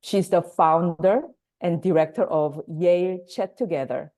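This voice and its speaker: A woman whose first language is Korean, hosting and introducing speakers on a video call webinar, measured with pauses. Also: fairly low pitch, mellow. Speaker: workshop host and facilitator.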